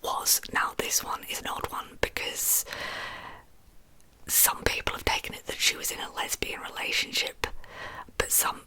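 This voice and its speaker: A woman telling true crime as ASMR in a breathy whisper, with informal, chatty asides. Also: normal speed, long pauses, bright, forceful tone, poor audio.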